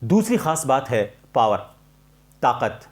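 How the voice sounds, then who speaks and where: A man presenting an instructional tutorial as a voice coach, explaining in a measured, emphatic way and repeating key points. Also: medium pitch, very animated, music in the background.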